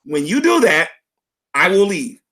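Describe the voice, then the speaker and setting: A hoarse man in a heated livestream argument, insistently repeating the other person's name as he pleads and accuses. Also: high-pitched, very animated, unhurried, pushed and bright. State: hoarse, losing his voice. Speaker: YouTuber.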